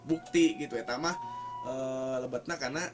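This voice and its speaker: A man's voice: mid-range voice, normal speed. A man with a Sundanese West Java accent explains like a teacher in an informal, hesitant conversational way, repeating key words for emphasis. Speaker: teacher explaining folk tales. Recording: music playing under the voice.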